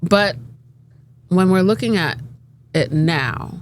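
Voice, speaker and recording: Low-voiced woman, lively and emphatic, chatting in a group, faint background hiss. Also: slow. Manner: animated, laughing, emphatic. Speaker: panelist. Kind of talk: casual group discussion.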